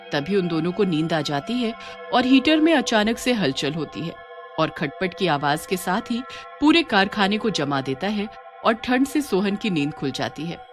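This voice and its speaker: A narrator tells a scripted horror cartoon story with very animated intonation. The voice sits at a middle pitch over soft background music.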